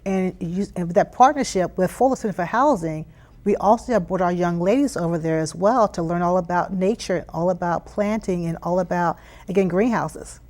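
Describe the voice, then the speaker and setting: A woman's voice: on the low side, moderate pace, a little mellow. Earnest American woman explaining in an interview. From Philadelphia, United States. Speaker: interview guest, youth STEM program leader. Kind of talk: conversational interview answers.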